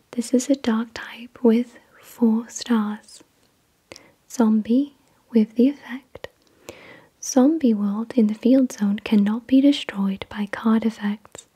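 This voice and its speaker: A woman hosting ASMR, reading out descriptions in a breathy whisper with careful, soothing enunciation. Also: a little high, fairly even intonation, takes it slowly, dark tone. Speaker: ASMR video host. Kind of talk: soft-spoken ASMR card unboxing, reading descriptions.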